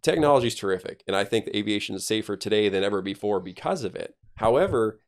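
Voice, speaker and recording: Low gravelly man explaining on a podcast. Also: expressive, fast talker. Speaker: air traffic controller, podcast guest.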